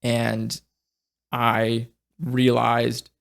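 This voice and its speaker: A young man chatting as a podcast guest, reflective and halting, with many restarts and fillers as he searches for words. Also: medium pitch, monotone, gravelly. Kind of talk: casual conversation.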